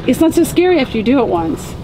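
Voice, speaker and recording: A woman in her forties narrating and chatting as a vlogger while learning to handle her boat in a marina, upbeat and quick to laugh. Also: high voice, very animated, background noise. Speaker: vlogger, mother learning to sail. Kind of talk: casual vlog narration and chat. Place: on a catamaran in a marina, outdoors.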